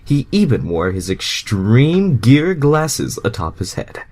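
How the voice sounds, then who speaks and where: A man's voice: mid-range voice, very animated, takes it slowly, a little mellow. A man doing a casual amateur read-aloud of fan fiction, stumbling over the text and breaking into exasperated, foul-mouthed asides.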